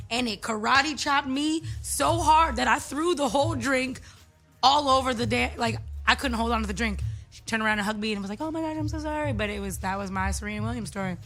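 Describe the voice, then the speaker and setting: A woman guest on a talk show, telling a story casually with colloquial, emphatic, drawn-out phrasing. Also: a little high, fairly bright tone.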